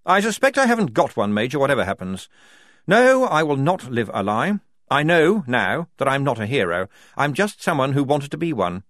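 An honourable, prim gentleman lieutenant, performed by a male narrator for a storybook. He speaks with earnest indignation in a raised, animated pitch. The sound is dry and close. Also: normal speed, dry recording. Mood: earnest, indignant.

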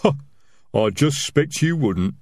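A man voicing a dim, cautious highwayman in a storybook, chatty and plodding in manner. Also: lively intonation, measured pace, a little mellow, slightly rough, no echo, clean studio sound. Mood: cocky. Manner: plodding, casual, working through a list. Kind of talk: audiobook character reading.

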